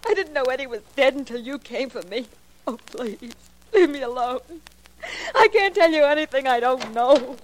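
Scared young dancer pleading in an old radio melodrama, high emotional voice. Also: female voice, lively intonation, normal speed, poor audio. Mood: frightened, protesting. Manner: emotional, protesting. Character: distressed, pleading young female dancer under questioning. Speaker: actress. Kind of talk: 1950 radio melodrama acting.

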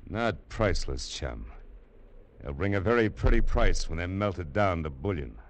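An actor playing a ruthless treasure thief with a menacing, mocking drawl in a 1950s radio drama. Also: male voice, low-pitched, lively intonation, moderate pace, a little hiss or hum. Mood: scornful. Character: ruthless treasure-thief man, sneering and cold.

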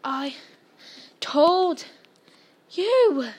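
A woman's voice: high voice, expressive, moderate pace, voice pushed up. A woman voicing a bossy, exasperated schoolgirl in a storybook, with an indignant, snappish manner. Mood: breathless indignation. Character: bossy, exasperated schoolgirl scolding her friends. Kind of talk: storybook character voice.

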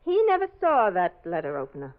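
A woman's voice: lowish voice, huge pitch swings, fairly quick. A woman acting a determined, suspicious amateur sleuth in a 1940s radio mystery, dramatic and insistent. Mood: pointed, suspicious. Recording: dull old radio broadcast recording.

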